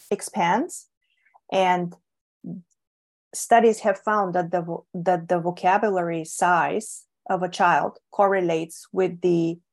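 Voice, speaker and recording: A woman's voice: fairly low pitch, darkish tone. A woman from Bulgaria, Bulgarian her first language, answering interview questions in a thoughtful way with many ums and restarts. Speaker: guest. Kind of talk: relaxed podcast interview.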